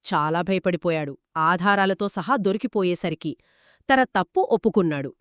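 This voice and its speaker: A woman's voice: on the low side, normal speed, no room sound, clean studio sound. A woman telling a moral story conversationally to a co-host, explaining each step expressively and affirming as she goes.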